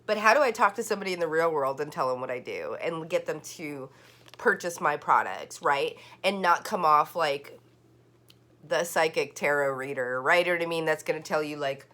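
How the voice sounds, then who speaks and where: A woman's voice: sits low, normal speed, fairly bright tone. A woman giving a casual online tarot reading, rambling and full of "like" fillers and restarts. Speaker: tarot card reader.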